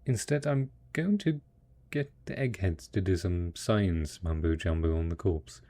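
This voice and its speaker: A man performs a devoted male survivor in an intimate post-apocalyptic audio drama. The voice is low and husky with a gravelly rasp. He speaks slowly and tenderly, coaxing a beloved spouse with stubborn hope. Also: warm tone. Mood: curious, hopeful. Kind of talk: intimate audio roleplay acting.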